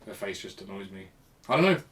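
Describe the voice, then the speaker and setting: A man's voice: sits low, sing-song, quick pace, a bit bright. A man co-hosting a podcast in casual chat, blunt and sweary in the way he talks. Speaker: podcast co-host.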